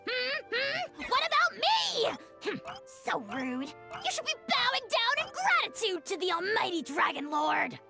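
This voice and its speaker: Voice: very high voice, huge pitch swings, measured pace, hardly any pauses, full character voice. A performer voicing a small, bratty, self-important dragon in a video game, haughty and taunting, with music behind. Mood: indignant, haughty. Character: small pompous bratty dragon creature, self-styled almighty lord. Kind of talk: video game character acting.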